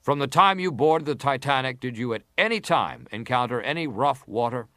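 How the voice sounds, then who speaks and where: A formal, pressing senator examines a witness in a historical drama. His mid-pitched male voice is deliberate and insistent, recorded dry with no room around it. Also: lively intonation, fairly bright tone, dry recording. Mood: probing, formal. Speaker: actor reading an examining senator. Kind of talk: dramatised reading of hearing testimony.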